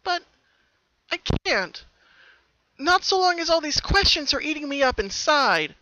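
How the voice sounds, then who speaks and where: An earnest young pony girl, acted by a man for a storybook drama. The voice is pitched high and sounds troubled, with a pleading, hesitant delivery. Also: very animated, unhurried, sharp tone, no echo, full character voice, low-quality recording.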